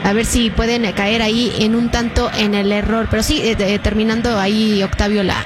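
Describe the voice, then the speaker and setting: A woman's voice: medium pitch, little pitch movement. A woman calling a live football match as play-by-play commentator, speeding up and rising with the action. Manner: fast, rising with the action, many fillers. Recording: broadcast with noisy background.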